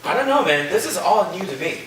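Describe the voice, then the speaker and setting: A man's voice: high voice, very animated, brisk. A man playing a laid-back, agreeable college student who talks casually and cheerfully in a staged reading. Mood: relaxed, upbeat. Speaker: actor in a staged play reading. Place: live staged reading in a reverberant room. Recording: echoey room, distant mic, faint noise.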